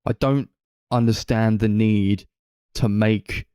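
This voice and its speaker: A man's voice: lowish voice, fairly even intonation, slow, mellow, clean tone, no echo, clean studio sound. A man co-hosting a film podcast, giving casual opinions on movies in conversation. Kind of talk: casual film review chat.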